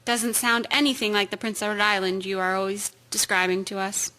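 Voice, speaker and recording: An actress playing a wry, practical army nurse in a wartime radio drama. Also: female voice, medium pitch, steady tone, brisk, sharp tone, natural voice.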